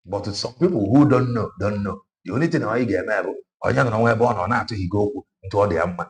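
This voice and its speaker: A man's voice: on the low side, fast talker, a little mellow. A man giving political commentary on a livestream, emphatic and repeating his key phrases as he switches between languages.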